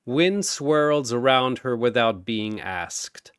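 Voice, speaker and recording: A man narrating a scripted story in a dramatic, theatrical and deliberate manner. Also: mid-range voice, animated, clean tone, dry recording, studio quality.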